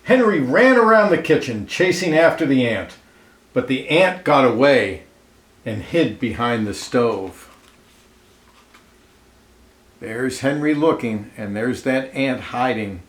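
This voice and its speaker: A man reading a children's picture book aloud expressively, pausing to comment and ask listeners questions, in an echoey room. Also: medium pitch, very animated. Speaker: storybook reader. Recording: roomy, echoey indoor sound.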